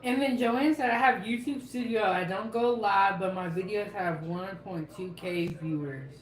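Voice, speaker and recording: A woman's voice: mid-range voice, unhurried. A woman co-hosting a live cooking stream, chatting casually, reading viewer comments and laughing often. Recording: echoey room.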